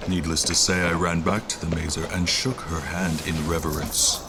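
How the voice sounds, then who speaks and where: A man playing a weary, dignified nobleman traveler in an audio drama, narrating his journey in formal, measured, old-fashioned phrasing. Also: low-pitched, little pitch movement, background noise, natural voice. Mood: reflective. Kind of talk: scripted first-person story narration.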